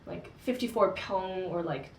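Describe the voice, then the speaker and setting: A woman's voice: on the low side, a little slow, vocal fry, a little mellow, slight background noise. A young woman co-hosting a casual book discussion, talking in a chatty way with lots of filler words. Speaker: co-host of a book discussion channel. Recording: echoey room.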